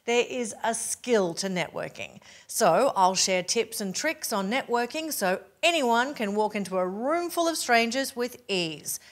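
A woman in her forties with an Australian accent, giving a polished, upbeat scripted pitch straight to camera from a living room set. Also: lively intonation, a little slow, few pauses. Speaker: presenter promoting her keynote talks.

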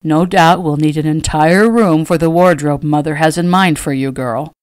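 A woman voicing a gruff, teasing young nobleman in a storybook, with a wry, grumbling manner. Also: low voice, moderate pace, darkish tone, no echo, studio quality, close to an everyday voice.

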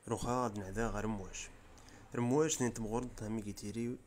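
Riffian man, lowish voice, quick informal explainer narration, tutorial voice-over. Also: steady tone, darkish tone, slight background noise. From Rif region of Morocco. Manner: brisk, informal, step-by-step explaining. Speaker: tutorial presenter. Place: voice-over, not the pictured scenes.

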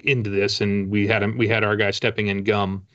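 Low-voiced middle-aged man, casual. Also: level tone, a little fast, fairly bright tone. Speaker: guest, author. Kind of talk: casual interview talk. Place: book-lined room at home. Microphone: video call. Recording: dull lo-fi video call audio.

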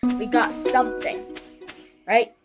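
Young woman, slightly hoarse, slow easygoing let's-play chatter, self-mocking, over loud game music. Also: on the high side, fairly even intonation, muffled recording. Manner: chatty, self-deprecating, reads in-game text aloud. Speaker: gamer narrating a farming game. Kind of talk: casual let's-play commentary.

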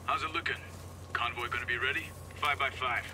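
A businesslike mercenary guard played by a man talks in clipped, military phrases at a medium pitch. It sounds like game dialogue with clear ambient noise. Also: fairly even intonation, fairly quick, lightly acted. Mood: routine, clipped. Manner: clipped radio-call phrasing. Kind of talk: game voice acting.

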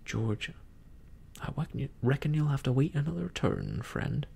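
A man voicing a crafty, domineering pirate sea cook in a storybook, taunting in rough nautical dialect with a husky, gravelly voice. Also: medium pitch, darkish tone, a little hiss or hum. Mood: smug.

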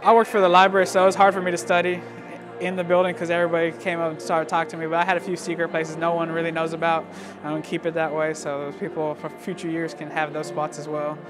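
A young man talks quickly in an interview, with little rise and fall in his voice. Music plays behind his graduate testimonial. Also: fairly high pitch, monotone. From South Texas, USA. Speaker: law school graduate.

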